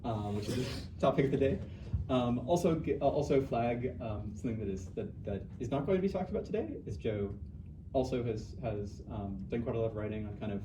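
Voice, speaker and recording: Heard from a distance in an echoey room with noticeable noise, a man introduces a speaker. His delivery is informal and halting, with phrases often restarted. His pitch is average for a man. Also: expressive. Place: indoor room at an in-house talk. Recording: echoey room, distant mic, noisy background.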